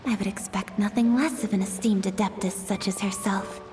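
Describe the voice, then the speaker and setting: A woman's voice: medium pitch, fairly even intonation, fairly quick, a little mellow, soft background music, natural voice. A woman voicing a courteous, eager young woman in a game. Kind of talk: game voice acting.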